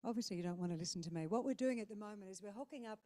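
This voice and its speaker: A woman MCing a conference, chatty, loud and joking while she makes announcements. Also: medium pitch, very fast. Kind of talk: casual announcements. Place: conference room.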